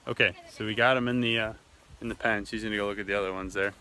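A man's voice: fairly low pitch, fairly even intonation, quick pace. A man hosting a farm vlog outdoors, casually explaining what he is doing as he works. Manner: easygoing, guiding and explaining as he works. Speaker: vlog host and farmer. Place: outdoors on a farm, windy and rainy. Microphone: camera mic outdoors. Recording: wind and outdoor noise loud. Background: wind and farm animals.

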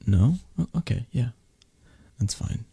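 A man playing a possessive, flirty mafia boss who dotes on his lover, murmuring softly and intimately in an ASMR romantic roleplay, with a breathy, husky, hesitant and reassuring delivery. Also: mid-range voice, expressive, measured pace, no room sound, full character voice. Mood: tender.

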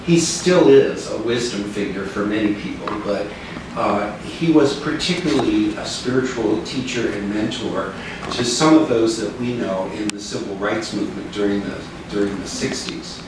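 A man's voice: on the low side, normal speed, distant mic. Older man in a very echoey room. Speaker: minister. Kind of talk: informal reflective talk to a small group. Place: living room with small seated group. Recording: echoey room from afar, clear noise.

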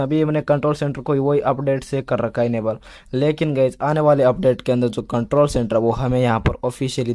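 A man's voice: medium pitch, warm tone, no room sound. A man hosting a tech video, explaining things casually and repetitively as he keeps addressing viewers as friends. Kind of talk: casual smartphone update explainer.

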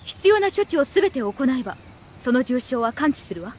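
高い声の冷静な女性衛生兵. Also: female voice, sits high, sing-song, a little fast, darkish tone, a little hiss or hum. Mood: calm, instructive. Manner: clear, instructive, firm. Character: composed, firm female radio medic guiding a wounded soldier. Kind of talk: scripted game tutorial instruction.

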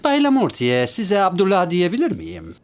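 Radio comedy casting: courteous, probing detective, melodramatic phrasing, lively swings in intonation. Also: male voice, sits high, moderate pace. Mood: polite, probing. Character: polite, inquisitive male detective, drily theatrical and florid. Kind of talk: comic radio theatre dialogue.

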